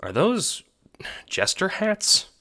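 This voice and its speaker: Man playing an eager, high-pitched boy adventurer. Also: slow, whispered, full character voice. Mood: hushed surprise. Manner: excitable, casual. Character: eager, wide-eyed young boy adventurer.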